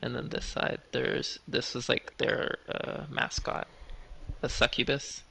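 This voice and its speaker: A man narrating a tabletop game as game master, halting and trailing off, with a gravelly, husky voice. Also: mid-range voice, little pitch movement, pushed and bright, a little hiss or hum. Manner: halting, with trailing, unfinished phrases.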